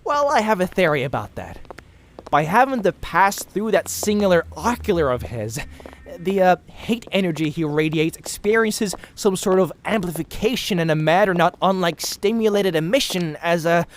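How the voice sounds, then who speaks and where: A man's voice: high-pitched, expressive, normal speed. Man voicing theatrical, swooping, wordy young rascal. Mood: excited, rambling. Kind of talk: animated comic dub acting. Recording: faint background noise.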